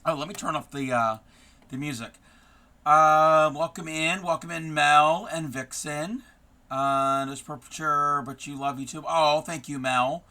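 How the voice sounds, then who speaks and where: Guy streaming his craft stuff, voice going hoarse, super bright tense tone, rambly and chatty. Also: on the high side, fairly even intonation, a little slow. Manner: chatty, greets viewers by name, frequent ums. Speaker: craft live-streamer host. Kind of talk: casual live-stream chat.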